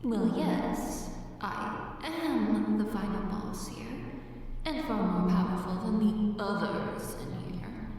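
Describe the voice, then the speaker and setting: A woman's voice: mid-range voice, animated, takes it slowly, a little mellow. A woman playing a predatory, possessive dungeon boss monster in an ASMR roleplay, speaking in teasing, condescending, formal old-fashioned diction with a cave echo effect. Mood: haughty. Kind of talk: ASMR audio roleplay, theatrical archaic speech. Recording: echoing cave reverb, distant, faint music.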